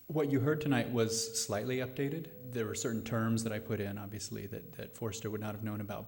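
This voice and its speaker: A man's voice: fairly low pitch, a little mellow. A man speaking unscripted to a live audience as a playwright explaining his work. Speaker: playwright answering audience questions. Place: studio theater before live audience.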